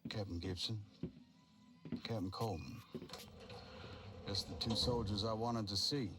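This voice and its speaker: An actor playing a cold, authoritative villain boss who addresses soldiers formally in a video game. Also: male voice, on the low side, unhurried, over loud music, lightly acted. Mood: cold command. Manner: formal, commanding.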